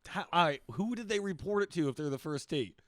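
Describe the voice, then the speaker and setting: A man hosting a podcast, chatting casually, excitable and swearing often. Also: on the high side, a little fast, dry recording, clean studio sound. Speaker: podcast host. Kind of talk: casual chat.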